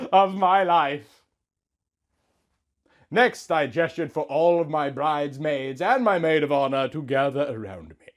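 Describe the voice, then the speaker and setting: Theatrical man with a high, swooping voice. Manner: melodramatic, mock-sentimental, swinging from hushed to loud. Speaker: comic host reading out fan fiction. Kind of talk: theatrical comic story reading.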